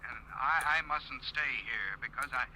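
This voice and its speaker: An actor playing an anxious, secretive businessman in a 1950s radio drama, urgent and halting. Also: medium pitch, pushed and bright, poor audio. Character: anxious, secretive businessman warning over the telephone. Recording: hissy old radio recording.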